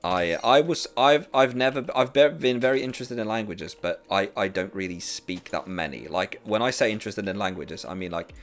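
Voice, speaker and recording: A man's voice: on the low side, animated, moderate pace, few pauses, fairly bright tone. A Norwegian man with a sore throat, chatting casually and rambling while streaming a game, with faint music behind him. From rural Western Norway. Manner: chatty, rambling, many restarts. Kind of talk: casual chat while gaming.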